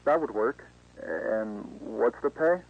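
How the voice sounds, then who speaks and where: A man's voice: mid-range voice, animated, slow pace, gravelly, slightly rough, full character voice. A man playing a polite, laid-back young job seeker, speaking hesitantly and casually in an acted phone conversation. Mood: casual, interested. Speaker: voice actor in an analog horror video. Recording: dull, lo-fi phone-call sound.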